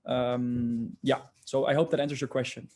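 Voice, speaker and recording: A man from the organizing students answering a question about upcoming events, halting, with many fillers. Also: medium pitch, little pitch movement, a little mellow.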